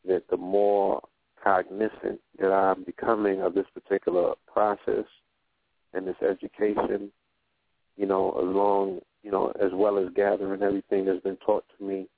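A man talking in a relaxed, reflective way on a radio roundtable, often stopping to ask "you know what I'm saying". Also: sits low, gravelly, no room sound. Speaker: radio show guest. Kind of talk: casual roundtable talk. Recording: phone-like narrow line.

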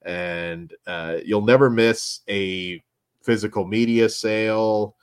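A man's voice: on the low side, steady tone, slow pace, smooth, no echo, studio quality. A man co-hosting a podcast in casual chat, losing his voice, with rambling, filler-laden plugs. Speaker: podcast co-host.

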